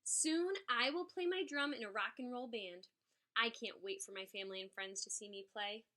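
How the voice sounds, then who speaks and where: Woman as young boy critter, storybook, eager, proud, emphatic, high bright voice. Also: moderate pace.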